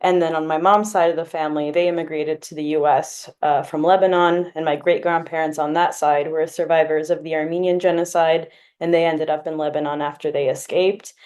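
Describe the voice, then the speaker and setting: A woman's voice: sits low, level tone, a little fast, few pauses, a little mellow. A woman in her twenties, a law student from California, answering interview questions in an earnest, explanatory way with occasional fillers. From Glendale, California, US.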